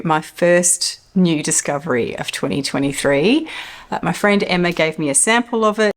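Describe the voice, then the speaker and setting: Woman, fifties, slightly croaky, low-pitched voice, enthusiastic home vlog. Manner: chatty, enthusiastic, laughs often. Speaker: perfume reviewer. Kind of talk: casual to-camera review. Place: home living room.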